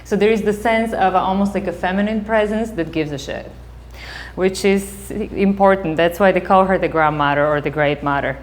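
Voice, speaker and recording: A woman in her forties with a Bulgarian accent, a psychotherapist giving a casual public talk, animated and laughing often. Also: medium pitch. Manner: animated, laughs often, quick bursts of excitement.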